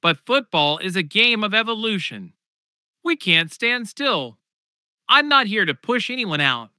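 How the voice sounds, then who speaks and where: Unruffled, determined sports manager, done by a male narrator, earnest and emphatic, pitched up, dry audiobook sound. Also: sing-song, measured pace, bright, forceful tone, dry recording, full character voice. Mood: calm, persuasive. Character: calm, determined male football manager rallying his players. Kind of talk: audiobook character dialogue.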